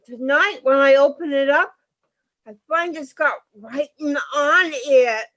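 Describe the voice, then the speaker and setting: Woman playing a very high-voiced, frightened, fretful wife. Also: unhurried, a bit bright, highs cut off. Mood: anxious, upset. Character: frightened, anxious wife pleading with her husband. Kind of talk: radio play acting. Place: home, over a video call.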